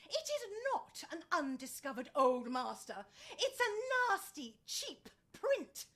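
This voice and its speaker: Actress as a haughty businesswoman, extremely high voice, dramatic swoops, sarcastic, emphatic, radio comedy. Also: big pitch swings, slow, a bit bright, heavily acted. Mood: scornful, sarcastic. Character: sharp-tongued, proud female introduction agency boss. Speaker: actor in a radio play. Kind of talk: theatrical radio comedy acting.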